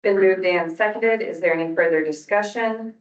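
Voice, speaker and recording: A woman's voice: medium pitch, moderate pace. A woman chairs a public meeting, speaking in formal parliamentary procedure as she runs votes and business. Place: indoor public meeting room. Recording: distant mic, echoey room, dull sound.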